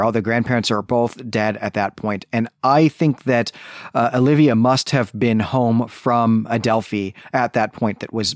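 A man with a mid-pitched voice talks through a literary argument as a podcast co-host. He reasons aloud, qualifies himself and often checks his points as he goes. The sound is dry, with very little room in it. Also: dry recording. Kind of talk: conversational literary discussion.

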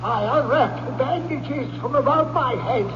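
An actor playing the tormented, mournful ghost of a dead businessman in a radio drama, speaking in a solemn, drawn-out wail. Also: very high voice. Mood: wailing fury. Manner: slow, drawn-out, wailing, solemn. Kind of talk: 1970s radio drama acting. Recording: echoing, noisy, muffled radio recording.

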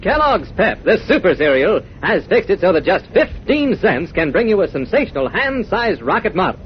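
A man's voice: sits high, very animated, moderate pace. An American man delivering an enthusiastic 1940s radio advertisement as announcer. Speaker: commercial announcer. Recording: dull old radio broadcast, faint noise.

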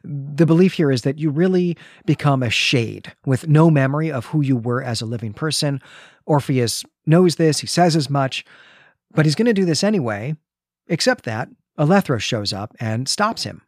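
A man's voice: fairly high pitch, expressive, normal speed, dry recording. Guy cohosting a book podcast, explains stuff in a chatty analytical way, really dry room sound. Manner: analytical, explanatory, often checks with "right?". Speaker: podcast co-host. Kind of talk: conversational literary discussion of a comic.

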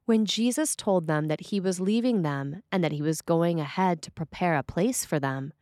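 A woman reading a scripted sermon as a preacher, with measured, deliberate phrasing. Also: on the low side, a little mellow, dry recording, studio quality.

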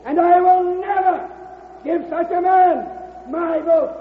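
A man's voice: very high-pitched, steady tone, slow. A man acting an eager, folksy party delegate from Tennessee in an old-time radio drama, insistent and emphatic. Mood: oratorical. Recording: tinny, echoey, noisy old radio broadcast.

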